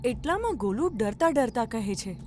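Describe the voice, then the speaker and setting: A woman's voice: on the high side, big pitch swings, normal speed, a little mellow, soft background music. A woman narrating a scripted children's story as the storyteller.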